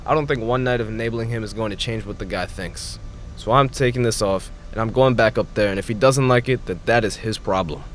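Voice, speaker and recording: Man playing a fast, blunt young fiancé. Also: on the low side, noisy background, lightly acted. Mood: frustrated. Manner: blunt, confrontational. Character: defiant young Saudi American fiancé, frank, fed up. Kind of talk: audio drama acting.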